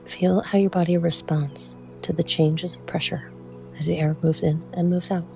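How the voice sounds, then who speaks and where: A meditation guide speaking in a soothing, measured way with frequent pauses and a soft, at times breathy voice. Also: mid-range voice, flat delivery, faint music behind.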